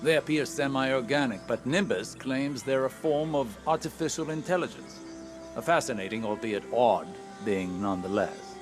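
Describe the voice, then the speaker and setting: Man acting measured, scholarly sci-fi researcher over music. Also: medium pitch, animated, close to an everyday voice. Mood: curious, musing. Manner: measured, precise, musing. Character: thoughtful, scholarly male researcher of strange powers. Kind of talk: video game cutscene acting.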